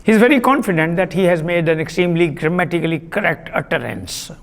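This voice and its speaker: A man giving a classroom lecture on literary theory, deliberate and repetitive, pausing with fillers. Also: on the high side, expressive, in a large hall. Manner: deliberate, repeats key terms, frequent uh fillers.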